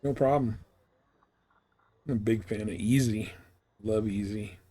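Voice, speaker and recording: A man's voice: on the low side, unhurried, dark tone, husky. A man chatting casually and informally on a live game stream, rambling through his explanations.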